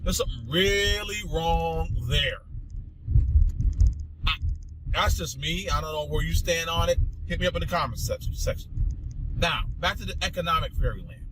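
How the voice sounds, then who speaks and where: Animated high-pitched man delivering political commentary. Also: a little slow, pauses often, noisy background. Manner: emphatic and indignant, with repetitions and rhetorical questions. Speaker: political talk show host. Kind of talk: opinionated political commentary monologue addressed to listeners.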